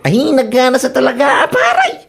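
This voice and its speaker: A woman acting an excitable, teasing spouse eager for a son in a radio comedy drama. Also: high-pitched, moderate pace, creaky, breathy edge, heavily acted. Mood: boastful, eager.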